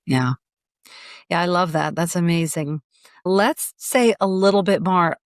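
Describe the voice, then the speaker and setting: A woman's voice: lowish voice, unhurried, dry recording, clean studio sound. A woman physician hosting a podcast interview, reflective and searching aloud for the right words.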